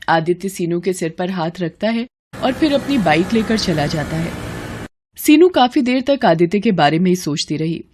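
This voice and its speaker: A woman's voice: medium pitch, noisy background. A woman reading scripted narration for a cartoon story as the storyteller.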